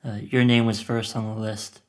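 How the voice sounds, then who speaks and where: A man's voice: lowish voice, level tone, normal speed, close to an everyday voice. A man playing a withdrawn, depressed teenage son in an audio drama, speaking tersely and hesitantly. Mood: nervous. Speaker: actor in a scripted podcast drama. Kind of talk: naturalistic audio drama acting.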